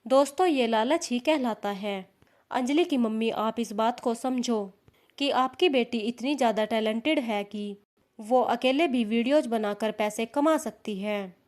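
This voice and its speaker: A woman's voice: fairly high pitch, darkish tone. A woman narrating scripted social media commentary, addressing viewers in an opinionated, admonishing way. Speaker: narrator of a social media gossip news channel.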